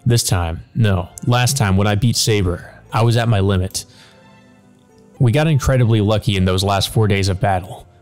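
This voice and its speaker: A man's voice: fairly low pitch, a little mellow, natural voice. A man reading the part of a proud, frustrated mage in a visual novel, grave and brooding. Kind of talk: visual novel first-person monologue read aloud.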